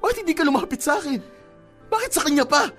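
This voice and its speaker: A man's voice: very high voice, animated, fast talker. A man with a Filipino accent acting a hurt, jealous, proud young boyfriend in a radio drama, pressing with insistent questions. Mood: hurt, pleading. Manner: accusing, insistent, punctuating with sharp questions. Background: dramatic background music.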